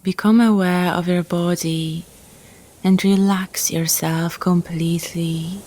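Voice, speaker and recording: A woman guiding a relaxation meditation in a calm, gentle, soothing manner, leaving long pauses between phrases. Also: on the low side. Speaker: yoga nidra guide.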